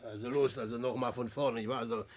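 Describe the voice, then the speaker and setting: An actor in a German radio play from around 1960, playing a slow-witted, grumbling small-time crook with a husky voice. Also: male voice, mid-range voice, steady tone, background noise, close to an everyday voice. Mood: grumbling, defensive.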